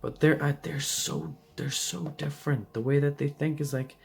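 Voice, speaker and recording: A man giving a casual tarot card reading, hoarse and losing his voice, rambling loosely with lots of fillers. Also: fairly high pitch, normal speed, a little hiss or hum.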